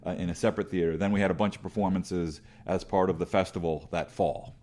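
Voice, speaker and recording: A man's voice: low-pitched, steady tone, fast talker, warm tone. A middle-aged man with a big voice, telling rambling, self-deprecating stories as an interview guest with many restarts. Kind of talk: casual conversational storytelling.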